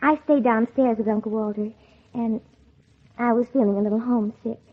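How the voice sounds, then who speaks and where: A woman's voice: on the high side, steady tone, normal speed, lightly acted. An actress playing a spiteful, scheming teenage girl in a 1940s radio drama, by turns falsely innocent and coldly bitter. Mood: feigned shame. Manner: feigned sweet innocence turning coldly bitter. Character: scheming, spiteful teenage girl narrating her own revenge. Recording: muffled, tinny old 1940s radio recording.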